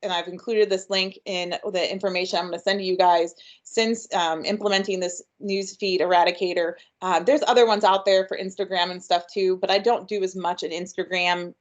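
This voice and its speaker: A woman's voice: on the low side, fairly even intonation, fairly quick, hardly any pauses, muffled recording. Upbeat chatty woman presenter. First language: English. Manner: chatty and upbeat, frequent ums. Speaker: workshop presenter. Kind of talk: webinar presentation.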